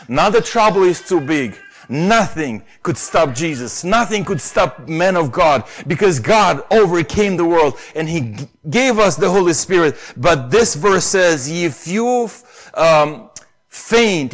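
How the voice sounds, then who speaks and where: Romanian-accented preacher with a high voice. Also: male voice. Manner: earnest, rises to emphatic stresses on key phrases. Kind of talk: sermon.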